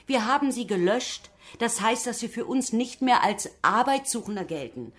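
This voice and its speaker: An actress playing a formal, unyielding jobcenter manager in a German radio drama, bureaucratic and condescending. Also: female voice, a little high, expressive, normal speed, close to an everyday voice. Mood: stern, reproving.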